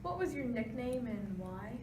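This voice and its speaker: Casting: female news interviewer, fairly high voice, scripted questions, distant and noisy recording. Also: big pitch swings, unhurried. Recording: noisy, echoey room, distant mic.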